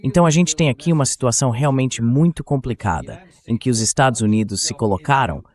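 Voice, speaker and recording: A man's voice: mid-range voice, animated, brisk, darkish tone. A man with a Brazilian accent reading a translated voice-over of political news commentary as the show's host.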